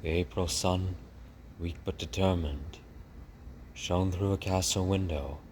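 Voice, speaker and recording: Male narrator for children's fiction: very low, unhurried, deliberate, clearly noisy recording. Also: steady tone, a little mellow. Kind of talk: dramatic storybook narration.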